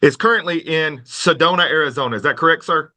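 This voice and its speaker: A man hosting a conversational podcast interview in a folksy, polite, animated manner. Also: a little high, fast talker. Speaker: podcast host.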